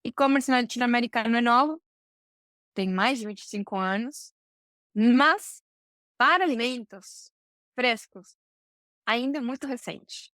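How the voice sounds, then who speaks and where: High, clear woman. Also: a little slow, pauses often, a bit bright, no room sound, clean studio sound. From Argentina, Buenos Aires. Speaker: podcast guest, supply chain professional. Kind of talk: conversational interview answers.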